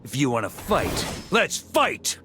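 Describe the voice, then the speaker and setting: An actor playing a hearty, confident knight commander in a video game, with a brash and rousing delivery. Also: male voice, mid-range voice, big pitch swings, slow pace. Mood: wry, defiant. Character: bold, hearty knight commander, grown man, cheerful warrior. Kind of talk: dramatic scripted game dialogue. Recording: loud music under the voice.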